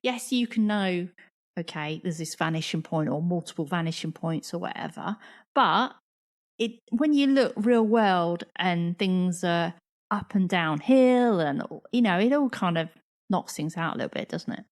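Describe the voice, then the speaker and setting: A woman's voice: lowish voice, lively intonation, a little slow. A woman in her forties, co-hosting a podcast in casual chat, chatty and laughing often. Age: 40s. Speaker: podcast co-host.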